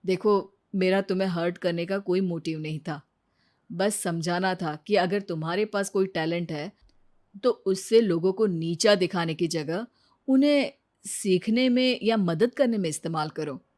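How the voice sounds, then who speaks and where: महिला की आवाज़ में आत्मविश्वासी, समझाने वाला पति. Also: female voice, on the low side, unhurried, darkish tone, natural voice. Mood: gently advising. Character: clever, teasing husband who lectures his proud wife.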